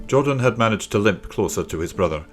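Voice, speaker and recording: A man's voice: lowish voice, animated, fast talker, faint music behind. A man reading a scripted children's story as an audiobook narrator.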